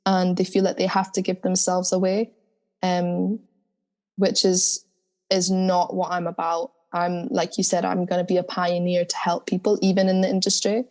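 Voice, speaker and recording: A woman with a deep, soulful voice talks earnestly about spiritual matters, her pitch sitting low and her tone warm and mellow. She speaks in a reflective, interview manner. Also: monotone, moderate pace. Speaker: guest singer.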